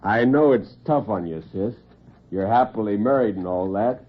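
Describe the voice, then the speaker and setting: A man's voice: fairly low pitch, moderate pace, voice pushed down, close to an everyday voice. A man playing a subdued, apologetic ex-convict brother in classic radio drama. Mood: subdued, uneasy. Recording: tinny, narrow-band old radio recording.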